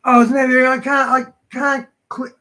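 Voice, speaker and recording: A hoarse man hosting a livestream, reading scripture aloud haltingly and chatting casually. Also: extremely high pitch, flat delivery, sharp tone. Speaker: livestream host.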